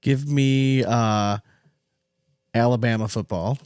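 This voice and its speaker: Male co-host, average pitch, dry recording. Casual, wry sports talk, swears a little. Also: fairly even intonation, slow, a little mellow, smooth, dry recording.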